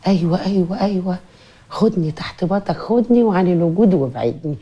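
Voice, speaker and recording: A woman's voice: fairly low pitch, expressive, fast talker, dark tone, muffled recording, lightly acted. An actress playing a devoted, fussing and outspoken woman with an Egyptian accent in a TV drama. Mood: flirtatious, playful. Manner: coaxing, nagging, emphatic. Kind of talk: TV drama acting.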